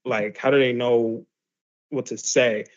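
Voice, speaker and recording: A man's voice: medium pitch, steady tone, a little slow, lo-fi sound. A man teaching a class in a loose, conversational way, full of fillers and restarts. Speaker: class instructor. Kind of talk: casual explanatory lesson.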